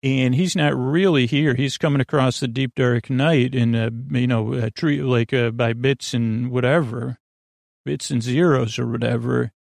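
Gravelly man rambling through a bedtime monologue. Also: medium pitch, steady tone, a little mellow, dry recording, studio quality. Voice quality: gravelly, creaky. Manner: meandering, halting, trailing off and circling back. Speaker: podcast host. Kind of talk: rambling bedtime monologue to help listeners sleep.

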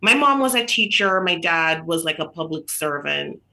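A woman's voice: deep voice, lively intonation, moderate pace, vocal fry. A middle-aged woman from Jamaica, a guest author in a casual podcast interview, telling stories in a chatty way with many fillers and restarts. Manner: chatty, warm storytelling with frequent fillers and restarts.

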